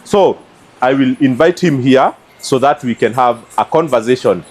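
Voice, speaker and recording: A man's voice: mid-range voice, moderate pace, husky. A man presenting his vlog outdoors beside a car, talking chattily with many fillers. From Kenya. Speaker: vlog host.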